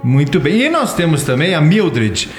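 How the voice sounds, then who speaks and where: A man's voice: fairly high pitch, big pitch swings, normal speed. Brazilian man hosting in a roomy echo. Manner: encouraging, informal. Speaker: interview host. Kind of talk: casual conversational interviewing. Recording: roomy echo, music behind.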